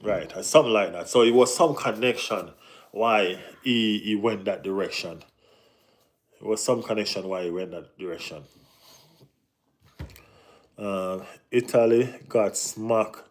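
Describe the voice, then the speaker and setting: Jamaican man, live-stream football host, slightly low voice, relaxed chatty delivery. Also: measured pace, long pauses. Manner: relaxed, repeats "you know what I mean". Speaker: football channel host reading live chat. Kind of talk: casual live-stream chat.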